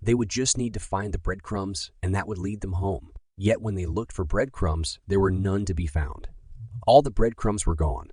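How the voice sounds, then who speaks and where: A man narrating a children's bedtime story in a deliberate, dramatic storytelling style. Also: low-pitched, darkish tone, breathy edge, no echo, clean studio sound.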